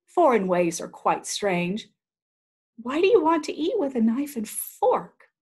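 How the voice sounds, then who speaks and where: Lady reading as kind old uncle in a kids storybook, teaching patiently, bit husky. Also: on the high side, very animated, measured pace, a little mellow, voice pushed up, full character voice. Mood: kindly, reassuring. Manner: patient, instructive. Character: kindly, instructive old great uncle, worldly Japanese man.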